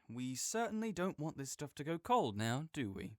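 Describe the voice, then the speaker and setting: A young man playing a caring, easygoing friend who speaks gently and reassuringly to the listener in an audio roleplay, with some soft joking. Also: a little high, sing-song, moderate pace, no echo, clean studio sound.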